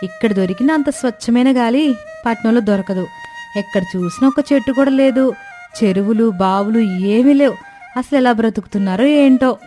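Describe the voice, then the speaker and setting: Woman playing a gentle, modest young daughter-in-law. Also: on the high side, moderate pace, faint music behind, natural voice. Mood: earnest. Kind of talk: cartoon moral story dialogue.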